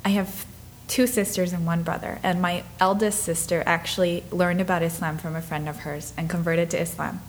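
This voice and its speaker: A woman in her twenties from Minnesota, telling her life story in an interview, earnest and reflective, sometimes dropping to soft breathy asides. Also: fairly low pitch, little pitch movement, moderate pace, few pauses, a little hiss or hum, rough recording. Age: 20s.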